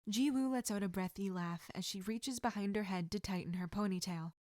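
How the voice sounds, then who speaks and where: A woman's voice: mid-range voice, brisk, a little mellow, dry recording. Woman narrating a story, dry sound. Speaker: fan fiction narrator.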